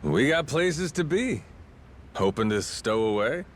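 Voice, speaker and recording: An actor playing a cocky, streetwise pirate yakuza captain in a video game, casual, brash and slangy. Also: male voice, medium pitch, big pitch swings, unhurried, a little hiss or hum. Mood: guarded. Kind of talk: video game dialogue acting.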